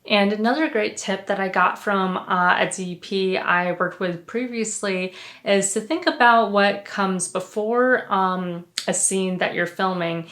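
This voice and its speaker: A woman's voice: fairly low pitch, a bit bright. A young woman hosting a vlog, talking casually about her filmmaking process, with many "you know" and "uh" fillers.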